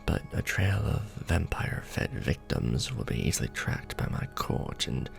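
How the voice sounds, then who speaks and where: A man playing a courtly, doting vampire lord in an ASMR romance roleplay, speaking formally and deliberately with tender pauses. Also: rumbling low, big pitch swings, vocal fry, soft background music, full character voice. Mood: thoughtful, hesitant.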